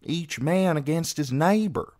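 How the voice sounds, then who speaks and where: Solemn boy beyond his years, read by a man, cautious, measured and slow, for a storybook. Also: on the high side, darkish tone, no echo, studio quality, pitched above the performer's own voice, natural voice. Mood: foreboding. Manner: grave, measured, foreboding.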